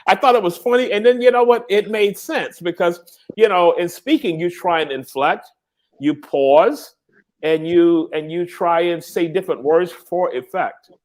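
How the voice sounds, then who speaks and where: Male host with a higher voice. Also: measured pace. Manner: encouraging, leads with short affirmations. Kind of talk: casual interview chat.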